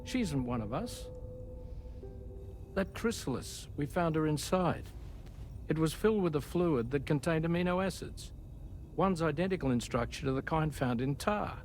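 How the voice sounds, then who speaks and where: A man's voice: a little high, expressive, moderate pace, a little mellow, soft background music. A man playing a precise, coolly pedantic science officer in a video game cutscene, speaking formally and articulately. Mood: insistent, pedantic.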